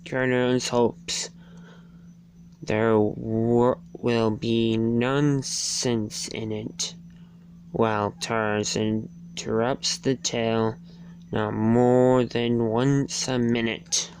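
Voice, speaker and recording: Very slow, halting amateur storybook reader. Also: medium pitch, level tone, darkish tone, poor audio. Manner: halting, stumbling over words. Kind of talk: dramatic storybook and poem reading. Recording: faint background noise and hum.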